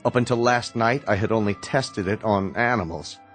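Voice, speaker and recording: An actor in a radio drama playing a stern, sardonic medical researcher who speaks in a measured, commanding way. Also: male voice, on the low side, faint music behind, natural voice. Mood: grave, explanatory.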